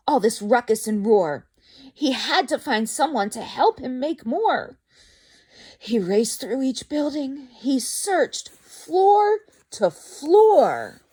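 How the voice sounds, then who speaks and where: A woman's voice: on the high side, a little mellow, slightly rough. A woman reading a children's story aloud expressively, dramatic in delivery and addressing young listeners.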